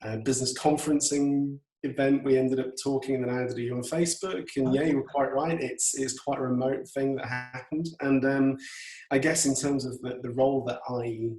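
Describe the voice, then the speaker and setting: A man's voice: mid-range voice, fairly even intonation, normal speed, darkish tone, soft background music. A middle-aged man from Yorkshire with an English accent, chatting as an interview guest in a hesitant, thoughtful way. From Yorkshire, England. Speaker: podcast guest, photographer. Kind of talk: casual interview chat. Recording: roomy echo, distant from mic.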